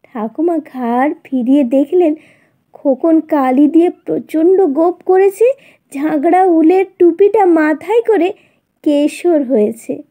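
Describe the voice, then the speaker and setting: Woman, high voice, slow delivery, expressive children's story narration.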